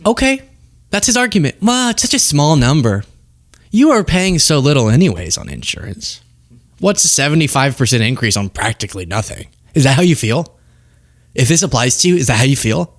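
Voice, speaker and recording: A man hosting a political show, talking off the cuff in an animated, sarcastic and self-mocking way. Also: medium pitch, brisk. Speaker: political commentary show host. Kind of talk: casual off-the-cuff political commentary.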